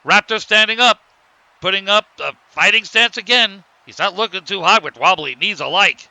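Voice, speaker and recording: Excitable male wrestling commentator, acted: high voice, piercing bright edge, blow-by-blow cartoon fight calls. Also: normal speed, creaky, no echo, exaggerated voice, low-quality recording. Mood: focused. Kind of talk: sports commentary.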